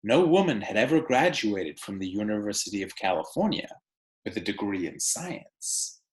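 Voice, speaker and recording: A man teacher reading aloud to children, with deliberate storybook phrasing and casual delivery. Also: fairly low pitch, sing-song, roomy sound.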